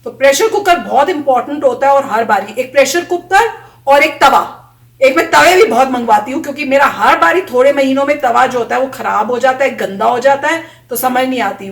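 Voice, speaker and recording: A woman's voice: high voice, lively intonation, moderate pace, far from the mic. A woman vlogger chatting casually, telling stories from her school days and acting out the lines of her friends. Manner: chatty, tells anecdotes with quoted voices. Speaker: vlogger reacting to a video. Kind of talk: casual storytelling chat. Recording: echoey room, voice a little off mic.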